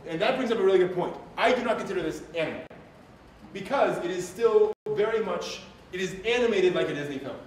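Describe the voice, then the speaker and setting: A man's voice: high voice, animated, a little fast, a little hiss or hum, poor audio. A man hosting a live stream, giving opinionated commentary on anime in a rambling, thinking-aloud way.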